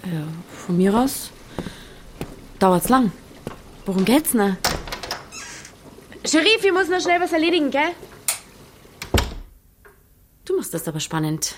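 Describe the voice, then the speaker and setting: A woman with a Bavarian accent playing a brisk, unsentimental village innkeeper in an audio drama, blunt and teasing. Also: high-pitched, big pitch swings, noisy background. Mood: brisk, busy. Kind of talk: audio drama dialogue in dialect.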